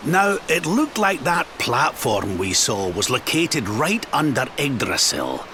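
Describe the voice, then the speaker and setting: An actor playing a kindly older adventurer, a wise mentor in a video game. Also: male voice, mid-range voice, sing-song, takes it slowly, noisy background. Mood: thoughtful. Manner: coaxing, avuncular.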